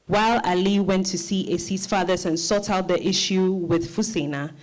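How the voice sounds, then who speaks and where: A woman's voice: mid-range voice, darkish tone. Female narrator for a dramatized novel reading, scripted storytelling delivery.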